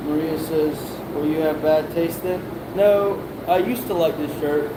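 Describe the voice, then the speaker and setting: A man's voice: a little high, unhurried. Offhand man reading live chat aloud. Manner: reads chat comments aloud in a relaxed, offhand way. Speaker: live-stream host reading viewer chat. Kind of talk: casual live-stream chat. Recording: echoey workshop with loud background noise.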